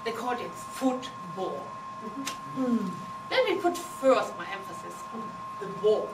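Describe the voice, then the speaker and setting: A woman's voice: mid-range voice, sing-song, measured pace. A woman from Germany with a German accent, giving a humorous contest speech with playful, teasing delivery and non-native phrasing. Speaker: contest speaker. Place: meeting hall with audience. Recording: echoey hall from far off, noisy.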